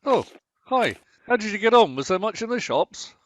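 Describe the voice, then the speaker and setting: A man's voice: high-pitched, sing-song, moderate pace, fairly bright tone, dry recording, lo-fi sound. A man acting a long-suffering, dryly sarcastic husband in a domestic radio comedy. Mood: bemused. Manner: dry, exasperated sarcasm.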